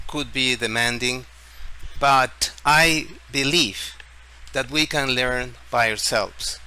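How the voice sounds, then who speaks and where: A man from Ecuador with an Ecuadorian Spanish accent hosts an informal screen-share explainer in halting, deliberate phrases, often repeating words. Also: medium pitch, sharp tone, clear, resonant tone, poor audio. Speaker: tutorial video host. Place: at home, street celebration outside. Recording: faint background noise.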